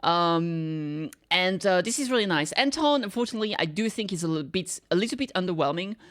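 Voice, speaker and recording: A woman's voice: fairly low pitch, animated, fairly quick. A woman streamer chatting casually, rambling and thinking aloud as she reacts to games.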